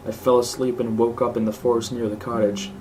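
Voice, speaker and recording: A man's voice: mid-range voice, level tone, brisk. A man reading a scripted horror story aloud as narrator. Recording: clearly noisy home recording.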